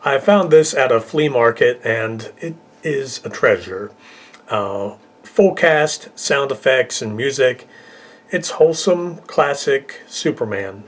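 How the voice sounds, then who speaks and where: A man hosts with a casual but scripted delivery. His voice sits at a medium pitch as he moves through a countdown list. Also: animated, slow pace. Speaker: video host.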